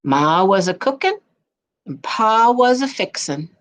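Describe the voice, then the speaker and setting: A woman reading and chatting for a children's storytime in a playful, expressive way. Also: medium pitch, measured pace. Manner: playful, expressive, sing-song when reading. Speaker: guest storyteller. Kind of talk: children's storytime read-aloud and casual chat.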